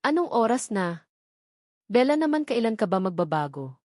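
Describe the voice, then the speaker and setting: A woman's voice: fairly high pitch, sing-song, moderate pace, a little mellow, no room sound, studio quality. A woman voicing a scolding, suspicious middle-aged mother in an audiobook story.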